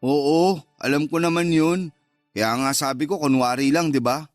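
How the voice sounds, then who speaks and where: A man's voice: a little high, steady tone, normal speed, dry recording, faint music behind, natural voice. A man acting a cocky, teasing and easily wounded secret lover, with a Filipino accent, in a radio love drama. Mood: wounded, denying.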